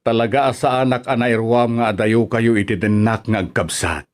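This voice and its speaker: A man's voice: mid-range voice, steady tone, moderate pace, natural voice. An actor playing a proud, loving father of grown children in an Ilocano radio drama. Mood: warm, concerned.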